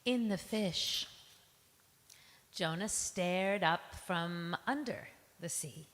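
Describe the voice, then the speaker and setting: A woman giving a dramatic spoken word recitation, phrasing deliberately and theatrically with pauses. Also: mid-range voice, vocal fry, fairly bright tone. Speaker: reader in a staged ensemble performance. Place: large synagogue hall, live performance. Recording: reverberant hall.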